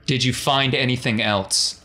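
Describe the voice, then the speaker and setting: A man's voice: mid-range voice, fairly even intonation, measured pace, sharp tone. A man voicing a stern, shaken uncle who speaks in curt, urgent, commanding questions. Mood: shaken, intense.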